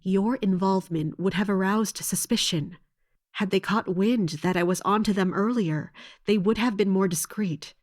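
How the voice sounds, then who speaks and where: A woman playing a loyal mercenary captain in a fantasy audio drama, speaking formally and with measured, respectful defiance. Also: medium pitch, a little mellow, lightly acted. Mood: controlled, defensive. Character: loyal, resolute female mercenary captain serving royalty.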